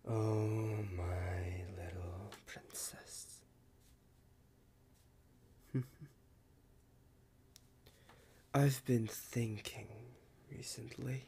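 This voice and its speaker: A man voicing a possessive, smug werewolf alpha in an ASMR roleplay, husky and breathy, often whispering, with teasing deliberate pauses. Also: on the low side, fairly even intonation, warm tone, a little hiss or hum. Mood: soft teasing.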